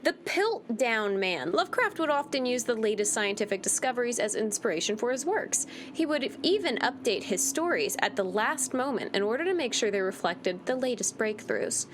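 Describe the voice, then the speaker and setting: A woman's voice: a little high, lively intonation, moderate pace, hardly any pauses, a little hiss or hum. A woman giving casual, irreverent commentary as she hosts a game playthrough, with a teasing, wry manner. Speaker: gaming video host.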